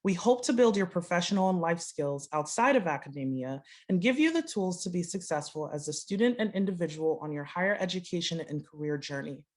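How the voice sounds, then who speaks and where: A woman's voice: lowish voice, lively intonation, fairly quick, few pauses, darkish tone. A woman hosting an online workshop over a video call, reading out a prepared, formal introduction. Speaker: host introducing a workshop speaker. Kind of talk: scripted event introduction.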